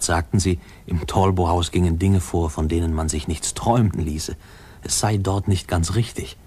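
An actor playing a grown man wistfully recalling his orphaned boyhood, reflective and measured, in a 1950s radio play narration. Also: sits low, a little mellow, slightly rough.

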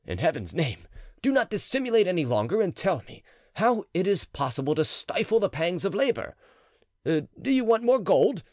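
A man's voice: sits high, very animated, normal speed, a little mellow, no room sound, studio quality, pitched above the performer's own voice. A man reading the part of a desperate, anguished nobleman who pleads emotionally. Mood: pleading. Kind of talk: audiobook character reading.

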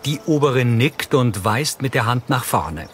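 A man's voice: mid-range voice, expressive, moderate pace, music in the background. A man reading neutral, scripted audio description for a film. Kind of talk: neutral scripted narration, present tense.

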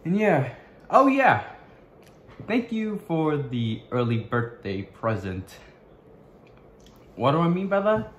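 Halting young man vlogging in echoey room. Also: a little high, very animated. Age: young adult. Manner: halting, searching for words, many restarts. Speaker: YouTuber. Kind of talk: casual vlog to camera. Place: small bedroom indoors.

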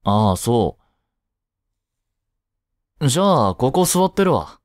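A man's voice: mid-range voice, very animated, slow pace, darkish tone, no echo, clean studio sound. A young man playing a laid-back, protective teenage childhood friend in a casual voice drama, speaking bluntly and teasingly as if to the listener. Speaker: voice actor. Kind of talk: first-person voice drama, casual chat to the listener.

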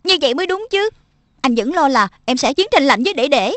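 A woman voicing a lively little male lion-spirit helper who lectures quickly and chirpily in a cartoon dub. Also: sits high, no echo. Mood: chirpy.

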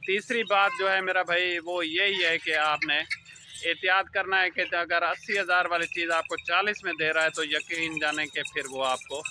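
A man's voice: on the high side, fairly even intonation, normal speed, background noise. A man in his thirties from Pakistan, speaking, earnestly warns viewers straight into a phone held at arm's length at his outdoor goat farm and keeps calling them his brother. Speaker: goat farm owner and channel host. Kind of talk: selfie-video warning talk to viewers. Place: outdoor goat farm pen, among goats and trees. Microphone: phone front camera at arm's length.

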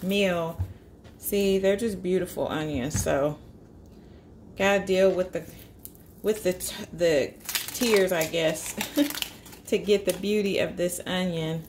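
Cooking vlogger, woman, lilt, lowish pitch, casual talk-through in her own kitchen with light background noise. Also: takes it slowly, pauses often, darkish tone. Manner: chatty, upbeat, thinks aloud with small fillers. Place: home kitchen.

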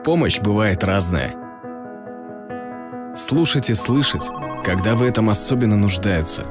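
A calm, measured narrator reading a scripted charity appeal. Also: deep voice. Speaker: voice-over for a charity ad. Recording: voice under loud music.

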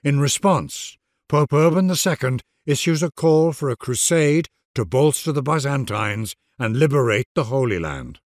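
A man narrating a strategy game scenario in a grave, measured storyteller delivery. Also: medium pitch, expressive, dry recording, clean studio sound, natural voice. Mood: solemn. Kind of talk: scripted dramatic narration.

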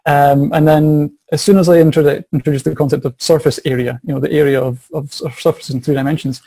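Young Scottish man, mellow dry tone, eager teacher voice over video call. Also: on the high side, flat delivery, quick pace, husky, no echo. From Scotland. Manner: eager, repeats words as he thinks. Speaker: science and maths teacher. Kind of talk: casual interview answers. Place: small home office. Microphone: video call webcam.

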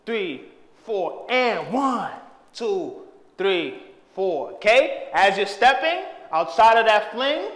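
Young male dance teacher, high lively voice, calls and counts out steps while moving, bare echoey room. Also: takes it slowly. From Haitian background. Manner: energetic, hypes and shouts while dancing. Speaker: dance instructor. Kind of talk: dance tutorial, counting and calling moves. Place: empty room with bare walls, indoors.

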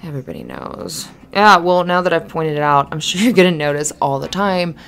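Woman playing young café barista, slightly low female voice, flustered, friendly, halting chatter, ASMR roleplay, music behind. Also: sing-song, vocal fry, a bit bright, exaggerated voice. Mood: bashful, amused. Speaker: voice actor in audio roleplay. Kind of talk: ASMR roleplay, natural conversational acting.

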